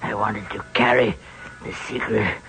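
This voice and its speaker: An actor playing a sly, dying old killer in a 1940s radio drama, gravelly and breathy, taunting as he confesses. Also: fairly low pitch, fairly even intonation, measured pace. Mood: bitter, confessing.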